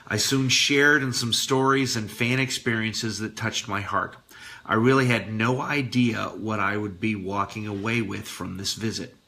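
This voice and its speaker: Forceful, bright-voiced man with a low pitch. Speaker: radio show host. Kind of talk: reading a listener's letter aloud on air.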